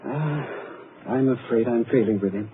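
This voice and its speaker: An actor playing a tired, patient asylum doctor who speaks calmly and soothingly in a 1940s radio drama. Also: male voice, mid-range voice, moderate pace, natural voice. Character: weary middle-aged male asylum doctor, patient and firm. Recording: muffled lo-fi 1940s radio broadcast with hiss.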